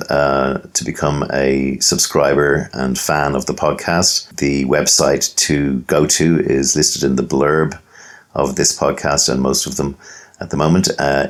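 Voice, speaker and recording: A man with an Irish accent hosting a podcast, talking casually to listeners and guests. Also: a bass voice. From Dublin, Ireland. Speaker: podcast host. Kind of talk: casual podcast introduction and interviewing.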